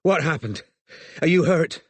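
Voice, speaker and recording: Guy doing a frantic gruff investigator bloke for an audiobook, urgent shouty sweary, pitched way up, dry recording. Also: lively intonation, unhurried, no room sound. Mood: urgent, anxious. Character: gruff, frantic, profane male investigator with a bad knee. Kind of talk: audiobook character acting.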